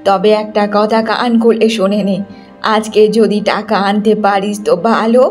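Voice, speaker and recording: A woman's voice: medium pitch, flat delivery, moderate pace, a little mellow, soft background music, close to an everyday voice. A woman voicing a harsh, greedy, middle-aged mother-in-law in a cartoon moral story, scolding and contemptuous. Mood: threatening.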